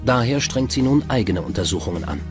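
A man's voice: mid-range voice, lively intonation, quick pace. A man delivering scripted narration for a true-crime documentary. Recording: music bed behind narration. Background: music.

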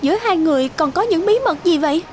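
A woman voicing a gentle, anxious young village wife in a cartoon, soft and pleading, with music behind. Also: very high-pitched, animated, quick pace. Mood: suspicious, worried. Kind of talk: animated folk-tale dubbing. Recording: music under the voice.

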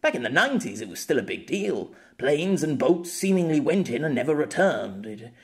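A man's voice: on the high side, big pitch swings, normal speed. A man playing an awkward, good-natured male storyteller explaining himself in an audiobook, hesitant and rambling.

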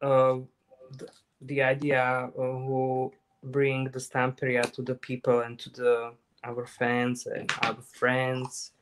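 A man demonstrating a craft project on a live stream, talking slowly and haltingly with many fillers. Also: mid-range voice, monotone, fairly bright tone, slight background noise, rough recording. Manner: hesitant, many fillers, halting non-native phrasing. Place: craft table indoors.

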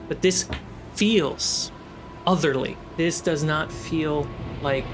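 Male game master, unhurried and halting, lighter-pitched voice, narrating fantasy scenes over music. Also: background noise.

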